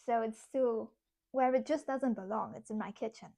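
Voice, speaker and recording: Brief: young woman, German accent, slightly high voice, loose chatty livestream talk, reads chat while she works, giggles a lot. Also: normal speed, vocal fry, warm tone. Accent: German, with some British English. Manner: chatty, laughs often, talks to herself while working. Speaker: streamer. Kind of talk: casual craft livestream chat, reading chat aloud.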